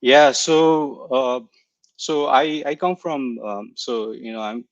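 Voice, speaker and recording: A young man from Nepal, a guest talking casually in an online interview, searching for words and filling pauses as he goes. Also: medium pitch, no room sound, dull audio. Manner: hesitant, searching for words, many fillers. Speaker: coaching client guest.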